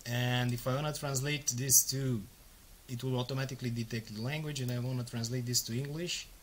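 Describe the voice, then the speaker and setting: A man in his thirties, a native Romanian speaker, casually walking through a software tutorial step by step with frequent ums and uhs. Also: mid-range voice, fairly even intonation, a little fast, a little hiss or hum. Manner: casual, explains step by step with fillers. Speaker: software demo presenter. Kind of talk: screen-recorded tutorial walkthrough.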